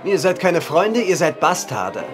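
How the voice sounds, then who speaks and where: A man's voice: on the high side, big pitch swings, measured pace, music in the background. Boastful stubborn man, wildly swooping voice. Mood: indignant. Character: boastful, stubborn male runner in a wager. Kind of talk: German audio drama acting.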